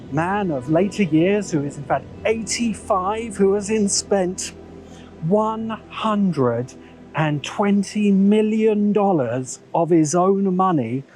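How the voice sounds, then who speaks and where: Man, video essay host, thoughtful commentary, measured, slightly high voice, small camera mic. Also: expressive, a little mellow, soft background music, rough recording. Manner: measured, musing, self-correcting asides. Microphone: small handheld camera's built-in mic.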